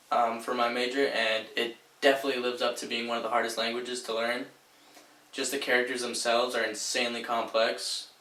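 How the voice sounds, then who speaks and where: Young man from Sweden, student intro, casual and monotone, bright tone, miked from afar. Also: medium pitch. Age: young adult. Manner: casual, relaxed, with "like" and "um" fillers. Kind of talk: personal introduction monologue. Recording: echoey room, distant mic.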